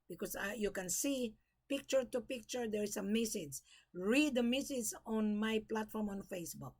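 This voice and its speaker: An older woman from the Philippines giving emphatic, repetitive warnings and advice straight to her viewers. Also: medium pitch. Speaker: gold brokerage vlogger. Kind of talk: direct-to-camera advice talk.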